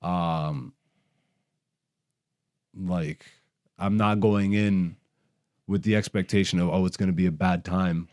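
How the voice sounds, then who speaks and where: A man's voice: low voice, fairly even intonation, slow pace, pauses often, a little mellow. A man from Canada, a stand-up comedian hosting a podcast, talks in a casual, blunt, slangy rant full of swearing. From raised in Canada.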